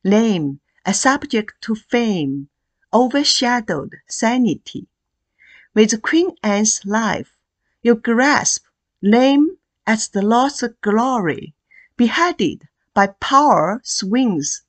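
A woman poet reading verse deliberately, pausing between short phrases. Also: a little high, lively intonation. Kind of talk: poetry reading.

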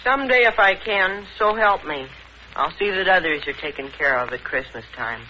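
A man's voice: high-pitched, lively intonation, normal speed, sharp tone, full character voice. A male performer playing an earnest, poor young city boy in a 1940s radio drama. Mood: earnest, solemn. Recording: dull, hissy old radio broadcast.

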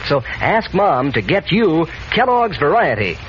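A man's voice: on the high side, sing-song, a little slow, slight background noise. A man announcing a 1940s radio advertisement for children with folksy, enthusiastic salesmanship, on a muffled old recording. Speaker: sponsor announcer.

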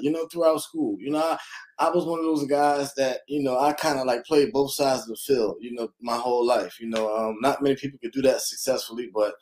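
Relaxed man telling his story. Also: a little high. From North Philadelphia, USA. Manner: relaxed, rambling, lots of fillers. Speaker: guest, real estate investor and lender. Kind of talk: casual interview storytelling.

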